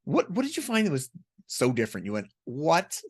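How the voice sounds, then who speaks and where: Guy hosting a radio interview show, really lively up and down delivery. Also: fairly high pitch, fairly quick, husky. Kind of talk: interviewing a guest.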